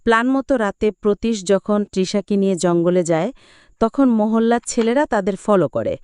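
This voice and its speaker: Female movie-recap narrator, smooth and resonant, dry studio sound, dramatic scripted storytelling. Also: medium pitch, expressive, moderate pace, darkish tone, no echo.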